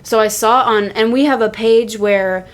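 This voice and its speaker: A woman talking casually as a podcast host, earnest, with halting phrasing that often repeats itself. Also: on the high side, steady tone. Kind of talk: casual wrestling discussion.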